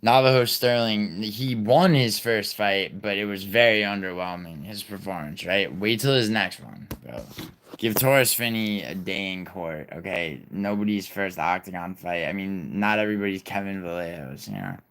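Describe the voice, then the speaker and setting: A man's voice: sits low, animated, normal speed, fairly bright tone. A young man hosting a casual livestream, rambling, swearing and reading viewer chat aloud. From born in Italy, raised in New York City. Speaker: livestream host.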